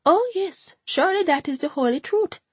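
In an audiobook, an anxious, devout elderly grandmother voiced by a woman chatters in a high, sing-song lilt. She sounds eager to please, and her voice swoops up over every greeting. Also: animated, normal speed, no echo.

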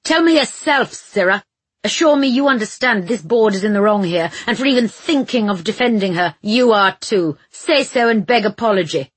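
A woman's voice: medium pitch, slow pace, hardly any pauses, a bit bright, breathy edge, no room sound. A woman voicing a pompous, violent nobleman in an audiobook, haughty and commanding with archaic insults. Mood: menacing, commanding.

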